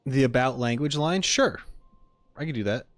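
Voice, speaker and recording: A man's voice: mid-range voice, expressive, moderate pace, no echo, faint music behind. A man doing casual livestream commentary as he reacts to a game, opinionated and emphatic, in an argumentative way. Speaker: streamer reacting to game voice lines.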